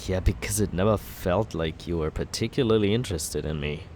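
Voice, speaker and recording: A man in an ASMR roleplay as a villain, talking smoothly and persuasively in a teasing, flirtatious way. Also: low voice, a little fast, a little mellow, a little hiss or hum.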